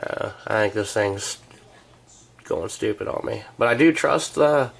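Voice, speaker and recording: American man with a creaky voice, chatting. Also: fairly low pitch, measured pace, slight background noise. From United States. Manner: wry, joking, sarcastic. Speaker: vlogger. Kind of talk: rambling casual chat.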